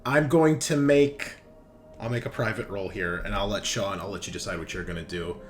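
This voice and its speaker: A man's voice: lowish voice, normal speed. Casual man with music behind him. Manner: casual, swearing, excitable. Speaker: game master. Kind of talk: casual tabletop game narration. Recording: background music under the voice.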